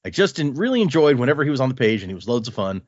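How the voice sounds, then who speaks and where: Lively male podcast host reading mail. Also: medium pitch, rapid-fire, dry recording. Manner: reads with lively asides and comments between lines. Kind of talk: reading a listener email aloud on a podcast. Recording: dry, slightly dull lo-fi sound.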